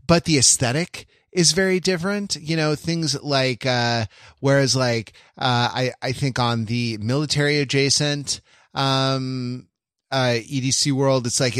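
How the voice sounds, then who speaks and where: Halting man chatting, close dry sound. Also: mid-range voice, fairly bright tone, no room sound. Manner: halting, rambling, full of self-interruptions. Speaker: podcast host. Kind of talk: casual chat.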